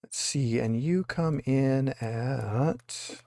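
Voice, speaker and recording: A man with a medium-pitched voice works as game master for a tabletop session. He narrates and calls out rules casually, and the sound is dry with no room in it. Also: very slow, vocal fry, a little mellow, no room sound.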